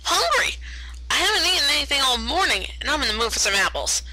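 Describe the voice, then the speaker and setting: A woman voicing a brash, excitable young female cartoon pegasus pony who blurts out exclamations. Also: sits high, fast talker, a little hiss or hum, pitched above the performer's own voice, full character voice, poor audio. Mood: excited, hungry.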